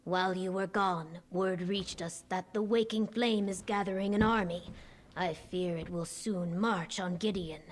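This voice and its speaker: An actress playing a grave, commanding military leader in a video game, speaking formally and with measured words. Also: female voice, lowish voice, steady tone.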